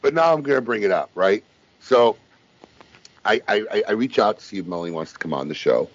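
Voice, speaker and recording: A man's voice: on the low side, lively intonation, brisk, no room sound. A man co-hosting a talk show, telling stories in a casual, teasing banter. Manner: teasing storyteller. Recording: muffled lo-fi live stream audio.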